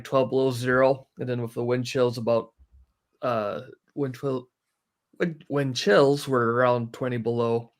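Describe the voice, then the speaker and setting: A man giving casual, practical explanations as a guest, in a matter-of-fact, step-by-step way. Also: mid-range voice. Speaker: guest, hobbyist sharing practical tips.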